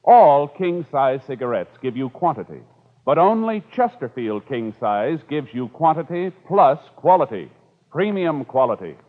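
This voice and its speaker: Emphatic vintage radio announcer, tinny sound. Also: male voice, a little high, big pitch swings, measured pace. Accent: American. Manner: emphatic, formal, declarative. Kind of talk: 1950s radio announcing, scripted. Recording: tinny old radio recording with loud music.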